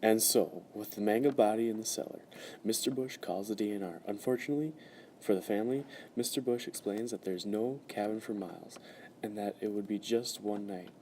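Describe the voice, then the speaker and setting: Casting: male radio-play narrator, low register, scripted storytelling delivery, faint background noise. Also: a little fast, mellow. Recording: noisy amateur recording with background ambience.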